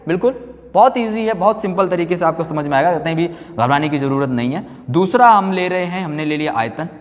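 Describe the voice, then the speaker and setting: A man's voice: a little high, animated, fairly quick. A man teaching like a classroom physics lecturer, instructive, asking questions and answering them himself, repeating key terms. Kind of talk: classroom exam-prep lecture in Hindi mixed with English terms. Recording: long hall-like reverb on voice.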